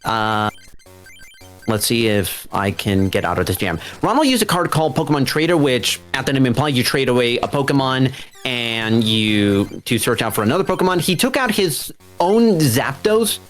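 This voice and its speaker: A speedrun racer casually commentating on their own gameplay, talking through each move in an animated way. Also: medium pitch, moderate pace, soft background music. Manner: animated, self-narrating play.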